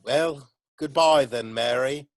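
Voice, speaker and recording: A man playing a gentle, wistful, fond admirer in an amateur script read-through. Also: mid-range voice, takes it slowly, no room sound, lightly acted.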